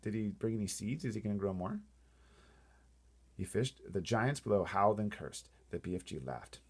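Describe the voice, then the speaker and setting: A man reading a storybook aloud in an animated way, playfully breaking off for casual asides to wonder aloud. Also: lowish voice, moderate pace, husky.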